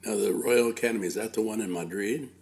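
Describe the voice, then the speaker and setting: An older man with a gravelly voice, chatting casually as an interviewer, repeating himself and dropping Spanish words into his English. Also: fairly low pitch, quick pace, a little mellow. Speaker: interviewer, host.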